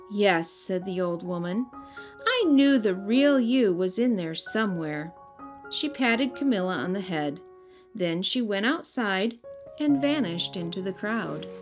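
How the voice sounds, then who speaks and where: A woman narrating a children's story as an expressive read-aloud. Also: fairly high pitch. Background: faint music.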